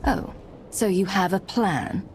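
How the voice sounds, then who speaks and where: An actress playing a stern, decisive secret agent commander in a video game, curt and commanding. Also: female voice, mid-range voice, slow pace, slight background noise, close to an everyday voice, low-quality recording. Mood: skeptical. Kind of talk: video game dialogue acting.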